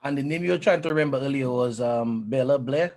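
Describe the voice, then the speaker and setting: A man's voice: medium pitch, fast, a little mellow. A man co-hosting an interview, asking easygoing, teasing questions. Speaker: co-host. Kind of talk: interview chat.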